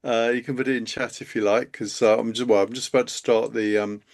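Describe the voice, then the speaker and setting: A man hosting a live webinar over a video call, chatty and halting, with many fillers. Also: medium pitch, flat delivery, gravelly. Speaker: live stream host, accountant. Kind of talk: casual live webinar talk.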